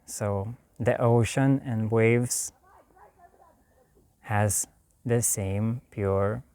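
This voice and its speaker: A man from Lithuania with a Lithuanian accent, giving a calm, meditative spiritual talk in a slow, soft way with long pauses. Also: on the low side, clean tone, no room sound. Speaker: spiritual teacher.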